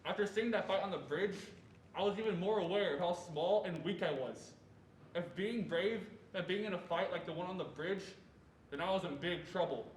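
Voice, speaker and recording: A young man performing as an adult man who earnestly recalls his fearful boyhood in a deliberate stage reading. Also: high voice, lightly acted. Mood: earnest, reflective. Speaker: student performer reading as narrator. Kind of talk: reader's theatre narration of a first-person memoir. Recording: echoey room with faint noise.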